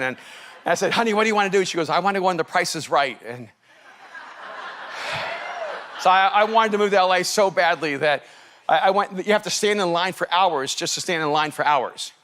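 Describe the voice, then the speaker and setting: A man from El Salvador, a native Spanish speaker, preaching as a pastor and telling a story in an animated, laughing manner. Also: a little high. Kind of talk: storytelling sermon.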